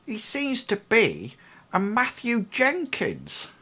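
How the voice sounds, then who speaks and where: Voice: mid-range voice, wildly swooping pitch, slow, sharp tone, husky, voice pushed up, full character voice. A game master voicing a brusque woman who hired the team, exasperated and blunt.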